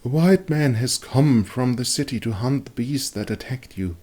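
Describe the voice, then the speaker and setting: A man voicing a kind, capable middle-aged village woman, gentle and earnest, in a fantasy story. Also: mid-range voice, lively intonation, moderate pace, pitched above the performer's own voice, natural voice. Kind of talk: dramatic fantasy story reading.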